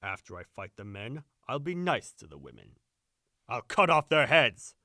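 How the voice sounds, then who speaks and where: A man performs a brash young servant boasting crudely in an audio play. The voice is bright and swings widely in pitch. It is recorded dry and close. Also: sing-song, moderate pace, dry recording. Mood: cocky. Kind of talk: audio drama acting.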